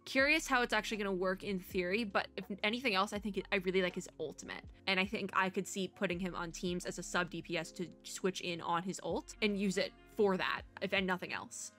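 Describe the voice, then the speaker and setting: Female VTuber host for game reactions: bright, chatty, slangy and gushing, prone to rambling, light music underneath. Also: fairly high pitch. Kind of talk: casual game reaction commentary.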